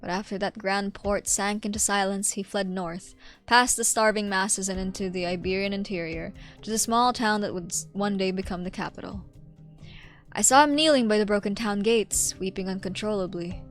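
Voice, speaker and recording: A woman's voice: medium pitch, moderate pace, soft background music, natural voice. A woman voicing a grave, eloquent old male inquisitor in game dialogue, speaking formally and reflectively. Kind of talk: game dialogue read aloud.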